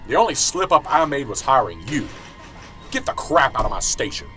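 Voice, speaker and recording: A man's voice: medium pitch, big pitch swings, moderate pace, over music, natural voice. A man playing a middle-aged, corrupt small-town police sergeant in an amateur radio drama. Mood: furious, indignant. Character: corrupt small-town police sergeant, chummy until cornered, then indignant.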